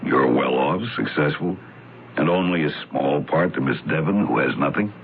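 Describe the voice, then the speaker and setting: A man playing a brisk, grumbling newspaper reporter in a radio mystery drama, with a gravelly voice. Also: rumbling low. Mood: probing. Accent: American. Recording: muffled, faintly noisy old radio broadcast.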